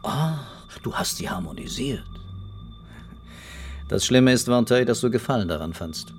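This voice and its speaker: An actor playing a calm, relentless police investigator in a radio crime drama, ironic and deliberate in his accusations. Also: medium pitch, soft background music. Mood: quietly insistent.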